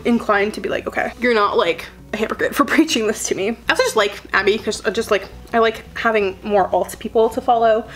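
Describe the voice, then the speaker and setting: A woman in her twenties, a beauty vlogger, chatting in a rambling way while doing her makeup, her sentences full of filler words. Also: fairly high pitch. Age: 20s.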